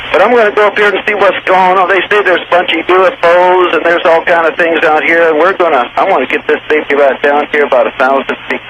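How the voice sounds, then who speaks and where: A man with a Texan accent calling in to a radio show, talking in a rambling, folksy, down-home way. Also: fairly high pitch. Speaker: radio call-in caller. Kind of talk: live call to a radio show. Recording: noisy phone line on a radio broadcast.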